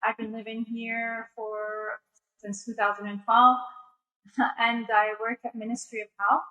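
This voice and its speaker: Guest: woman from Egypt, bright and tense tone, steady flat delivery, many fillers. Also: on the high side, poor audio. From Egypt, raised in the US. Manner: measured, with frequent fillers. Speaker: guest speaker. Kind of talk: online interview answers.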